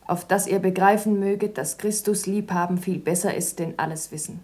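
An actress playing a devout, dutiful and guarded daughter in a German radio drama, speaking in a restrained, quiet way. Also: female voice, mid-range voice, steady tone, moderate pace, close to an everyday voice. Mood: pious, dutiful.

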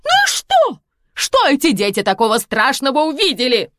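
Woman voicing a theatrical old mother, 70s, whiny, melodramatic, swooping pitch. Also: wildly swooping pitch, moderate pace, fairly bright tone, no echo, studio quality, exaggerated voice. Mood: indignant. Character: capricious theatrical mother in her seventies.

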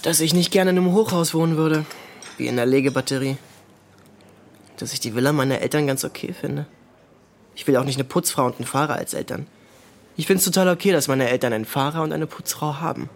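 A young actor playing a self-assured, probing teenage boy from a wealthy family in a radio drama, cool and deliberate. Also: fairly high pitch, vocal fry. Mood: blunt. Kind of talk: German radio drama, recounting and dialogue.

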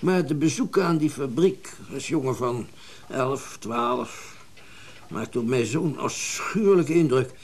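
An actor playing a wealthy, well-bred middle-aged collector in a radio drama, speaking in a genteel, reflective way with hesitant pauses. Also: male voice, mid-range voice, animated. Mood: reminiscing. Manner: genteel, reflective, hesitant pauses, old-fashioned turns of phrase. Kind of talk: naturalistic radio drama dialogue.

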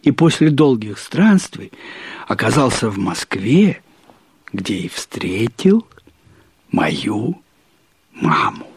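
Husky-voiced man narrating a story. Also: lowish voice, sing-song, no room sound. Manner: measured, deliberate, with pauses and emphatic repetition of phrases. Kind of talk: expressive literary narration of a short story.

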